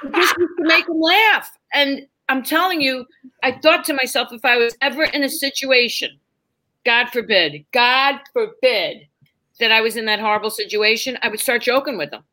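A woman with a New York accent, telling lively stories as a podcast guest and acting out the people in them. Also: on the high side, normal speed, poor audio. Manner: animated, acts out voices in her stories. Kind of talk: casual storytelling chat.

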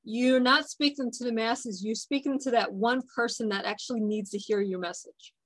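Woman radio host, highish voice, agrees a lot and sums things up. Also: steady tone, normal speed, a bit bright, no room sound. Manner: affirming, sums up the guest's points. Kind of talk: interview questions and chat.

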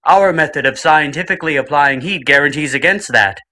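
A man's voice: a little high, fairly quick, bright, forceful tone. A man playing an old-time radio host who introduces the program and its guest in a theatrical announcer style. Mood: salesy.

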